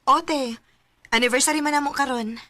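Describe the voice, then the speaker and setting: A woman playing an affectionate, trusting young student and younger sister in a radio drama, with gentle, expressive delivery. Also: high-pitched, moderate pace, no room sound, clean studio sound. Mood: shy, tentative.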